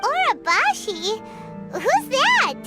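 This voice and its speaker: A woman voicing a small, excitable, childlike fairy companion in a video game. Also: extremely high pitch, slow pace, over loud music, exaggerated voice. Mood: curious. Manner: expressive, refers to self in third person. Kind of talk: video game character acting.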